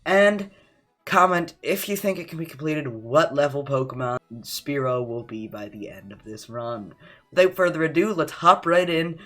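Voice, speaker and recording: A man's voice: on the high side, lively intonation, normal speed, fairly bright tone. A young man with a slight cough giving casual, joking commentary on a game challenge as a gaming channel host. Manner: casual, joking, self-deprecating asides. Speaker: gaming YouTuber.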